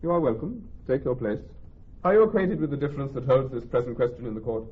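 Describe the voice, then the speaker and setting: An actor playing a grave, authoritative ruler presiding over a court in Shakespearean radio drama, formal and measured. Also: male voice, mid-range voice, husky, natural voice. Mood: formal, commanding. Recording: thin, phone-like old radio recording.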